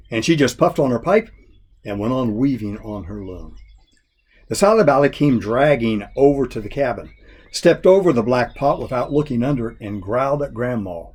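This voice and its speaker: A man reading a children's folk tale expressively, in a folksy, deliberate storyteller's manner. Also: medium pitch, darkish tone, slightly rough. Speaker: story reader.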